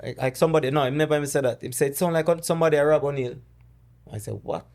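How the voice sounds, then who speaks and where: A middle-aged man from Jamaica with a Jamaican accent, a podcast guest who recounts personal loss in a halting, word-searching way. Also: a little high, a little mellow. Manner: halting, searching for words, with many restarts. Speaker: guest, dancehall artist. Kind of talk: conversational interview, storytelling.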